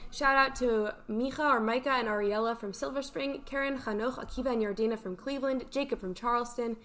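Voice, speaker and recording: Bright higher voiced woman hosting a morning show, upbeat announcements n shoutouts. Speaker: show host.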